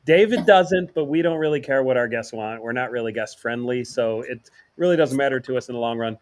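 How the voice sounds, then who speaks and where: Male co-host, nasal from the flu, jokey and rambling, mid-range pitch. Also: fairly quick. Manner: joking, rambling storyteller. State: getting over the flu, nasal. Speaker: podcast co-host. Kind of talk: casual chat.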